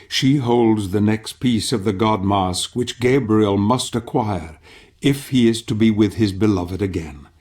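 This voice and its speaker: An actor playing a solemn, ominous older storyteller, narrating a video game in a theatrical, measured manner. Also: male voice, on the low side, darkish tone. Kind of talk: scripted dramatic game narration.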